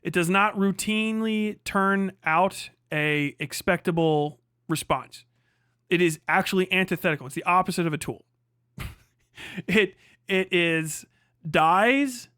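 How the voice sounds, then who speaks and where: A man's voice: high-pitched, unhurried, bright, forceful tone, smooth, no room sound, studio quality. A man livestreaming heated off-the-cuff commentary, swearing freely, combative and repeating phrases for emphasis. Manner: profane, combative, ranting. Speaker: livestreamer reacting to a video.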